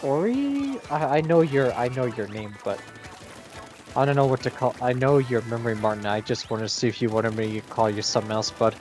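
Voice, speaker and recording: Lively Brooklyn man, New York accent. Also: mid-range voice, soft background music. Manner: lively, eager, jumps in with quick reactions. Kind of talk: casual gaming chat.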